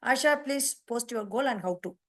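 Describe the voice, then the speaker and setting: A woman leads an online nutrition meeting. Her voice sits a little high, and she often stops and repeats words, stacking up points one after another. The sound is a plain video-call mic. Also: a bit bright. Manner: halting, repeats words, emphatic lists. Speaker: nutrition program host. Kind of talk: casual online meeting talk.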